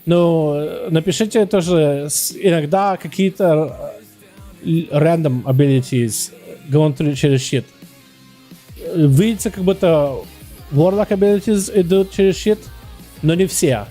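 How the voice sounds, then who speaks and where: A man with an American accent chatting on a game livestream, halting and searching for words as he switches between Russian and English. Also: fairly high pitch, warm tone, soft background music. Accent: American, speaking Russian. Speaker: game streamer. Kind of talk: casual livestream chat.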